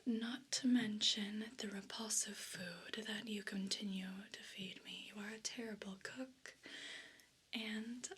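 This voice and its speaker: A woman voicing a haughty, bossy evil cat in a breathy whispered ASMR role play, speaking with imperious condescension. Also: medium pitch, steady tone, a bit bright, exaggerated voice. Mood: disdainful. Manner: imperious, slow and deliberate condescension.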